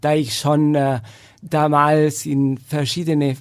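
Gravelly Italian-accented man, hesitant. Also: on the high side, little pitch movement, slow pace. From Italy. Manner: hesitant, many fillers. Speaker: cellist and festival founder. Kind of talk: casual podcast conversation.

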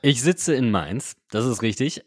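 A man's voice: mid-range voice, expressive, measured pace, no echo, clean studio sound. A man in his thirties from Germany, a podcast guest who answers with a hesitant manner and many fillers. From Germany (Wuppertal).

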